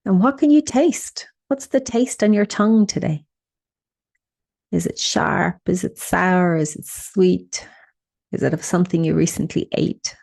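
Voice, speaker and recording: A woman leading a guided meditation, speaking in a soothing, unhurried way with gentle instructions and pauses. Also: lowish voice, gravelly.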